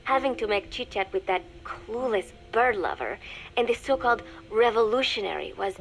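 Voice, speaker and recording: A woman voicing a young undercover spy in a video game, dictating a private diary in a measured, confiding, dryly scornful way. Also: on the high side, rough recording. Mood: guarded, scornful. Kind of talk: scripted dramatic diary narration for a game.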